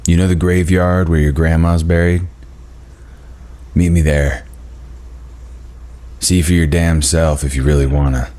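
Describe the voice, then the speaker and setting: A man's voice: extremely low pitch, little pitch movement, a little slow, long pauses, warm tone. A man reading a drawling, teasing demon. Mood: scheming. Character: sly menacing male demon, mocking and cocky. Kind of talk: table read of a drama script.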